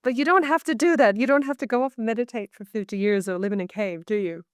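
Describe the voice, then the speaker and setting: A woman's voice: on the high side, quick pace, clear, resonant tone, dry recording, studio quality. A woman with a Canadian accent talking earnestly and reflectively as a guest on a video call interview. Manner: earnest, reflective, speaks in long searching phrases. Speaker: guest, medical intuitive. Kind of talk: casual interview talk.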